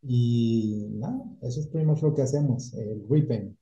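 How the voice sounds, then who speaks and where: A middle-aged man from Colombia, a painting company owner, telling his story in a relaxed casual chat and dropping English words into his Spanish. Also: mid-range voice, normal speed, soft background music. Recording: echoey room, dull lo-fi sound.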